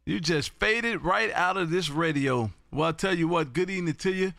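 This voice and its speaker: An American man hosting a live amateur radio session, chatting and calling for contacts in an animated, folksy, booming way. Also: moderate pace, gravelly, dry recording, clean studio sound.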